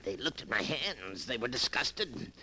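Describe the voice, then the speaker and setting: An actor playing a tormented escaped-convict killer who broods and repeats himself in a 1940s radio horror melodrama. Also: male voice, mid-range voice, big pitch swings, unhurried, pushed and bright, husky, exaggerated voice, low-quality recording. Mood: brooding, defensive. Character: tormented escaped-convict strangler obsessed with his murderous hands. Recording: 1940s radio broadcast recording.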